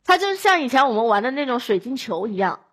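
A woman from Chongqing, China, chatting casually as a podcast guest in an animated storytelling way. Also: fairly high pitch, quick pace.

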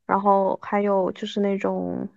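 A woman in her thirties talks casually as a podcast guest, with a self-deprecating, conversational manner. Her pitch is average, and the sound is dry and a little dull, like a lo-fi recording. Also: completely flat delivery, unhurried, creaky, a little mellow, no room sound. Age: 30s.

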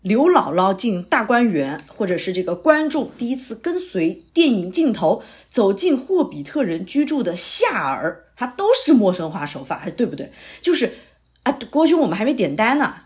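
An eager male playwright in an audio drama , voiced by a woman, pitching ideas in a chatty, persuasive way. The voice sits mid-pitch and swings widely up and down as he talks. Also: sing-song, moderate pace, a little mellow, natural voice. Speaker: playwright character.

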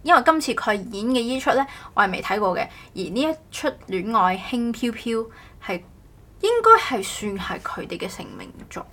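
A woman's voice: on the high side, animated, moderate pace, sharp tone. A woman hosting a casual podcast chat in a playful, teasing way. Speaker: podcast host. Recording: clearly noisy background ambience.

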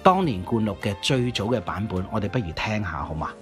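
A man's voice: on the low side, very animated, brisk. A man with a Cantonese accent co-hosting a music history show in a conversational, explanatory way, often checking in with questions. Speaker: radio DJ and co-host.

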